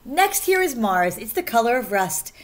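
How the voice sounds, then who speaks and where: Higher-pitched woman reading a children's book aloud. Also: normal speed, slight background noise. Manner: animated, sing-song delivery of rhyming verse, stressing key words. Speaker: teacher reading aloud to pupils. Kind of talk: expressive children's picture book read-aloud.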